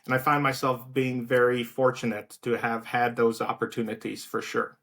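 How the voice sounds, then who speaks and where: A man's voice: medium pitch, moderate pace, gravelly. Light south african accent, guy in his thirties, easygoing guest, lots of ums. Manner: relaxed, many fillers and restarts. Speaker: guest, outreach director. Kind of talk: casual interview talk.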